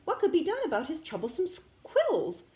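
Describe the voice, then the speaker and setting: A woman reading a picture book aloud to young children in an expressive, teacherly way, indoors. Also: very high-pitched, a little fast. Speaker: children's story reader, teacher. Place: indoor room. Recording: roomy echo, clear background noise.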